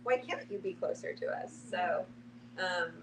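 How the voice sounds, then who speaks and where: A woman's voice: on the low side, a little slow. Woman in casual discussion, distant and noisy. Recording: distant mic with clear background noise.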